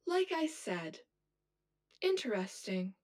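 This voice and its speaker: A woman's voice: medium pitch, very animated, slow, darkish tone. An actress playing a cold female inner double in an audio drama, precise, clinical and condescending. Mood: coolly menacing. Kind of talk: scripted audio drama acting.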